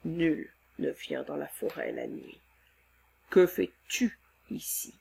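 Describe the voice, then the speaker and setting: A woman voicing a huge, gentle male forest monster in a read-aloud storybook, speaking slowly in a deep, hushed voice. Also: huge pitch swings, creaky, husky, full character voice. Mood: calm, grave.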